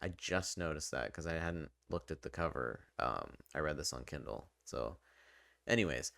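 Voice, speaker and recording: A man talking casually and unscripted as a game reviewer, halting and drifting into asides. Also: a bass voice, expressive, gravelly, a bit bright, no room sound, studio quality. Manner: halting, conversational, trailing into asides. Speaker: video game reviewer and channel host.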